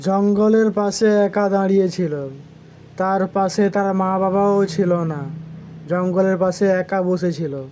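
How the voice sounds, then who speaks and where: A man voicing a concerned, kindly village uncle in a horror cartoon, speaking in a deliberate, questioning manner. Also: high voice, fairly even intonation, mellow, slight background noise. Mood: placating. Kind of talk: animated horror cartoon dialogue. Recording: music under the voice.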